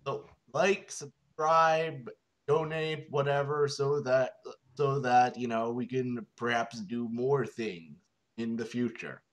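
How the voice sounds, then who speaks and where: A man's voice: medium pitch, fairly even intonation, takes it slowly. A man co-hosting a comedy reading, chiming in with hesitant, rambling interruptions. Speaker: co-host. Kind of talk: comedic banter.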